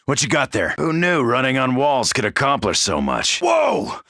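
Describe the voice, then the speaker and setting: An actor playing a tough, cocky American marine in a video game, gravelly and brash, with a swaggering, wisecracking delivery. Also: male voice, mid-range voice, huge pitch swings, normal speed, pushed and bright, no room sound, clean studio sound, exaggerated voice. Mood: urgent, brash. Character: tough cocky American soldier, gung-ho zombie-slaying marine. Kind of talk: video game character barks.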